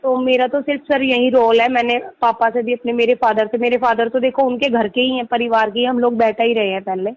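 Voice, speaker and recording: Woman lawyer, higher voice, insistent explainer on a phone call. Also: fairly even intonation, brisk, hardly any pauses, no echo. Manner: insistent, explanatory, peppers Hindi with English legal terms. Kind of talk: persuasive phone conversation. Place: recorded phone or video call. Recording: muffled lo-fi recorded call.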